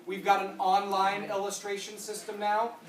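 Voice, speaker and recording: A trainer in their thirties explains policy details to a room and answers audience questions with brisk yeses and nopes. The voice sits at a middle pitch. It is picked up from a distance in a roomy, echoing space with faint noise behind. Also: monotone. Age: 30s. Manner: explanatory, answers questions with quick "nope" and "yep". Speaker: insurance product trainer. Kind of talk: training presentation with Q&A. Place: conference room.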